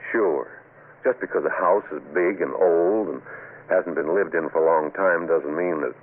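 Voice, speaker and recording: A man's voice: sits low, moderate pace, natural voice. An actor playing a skeptical, brusque but nervous husband and father in a 1970s radio suspense drama. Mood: dismissive. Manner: dismissive, reassuring, uneasy. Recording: tinny, noisy old radio recording.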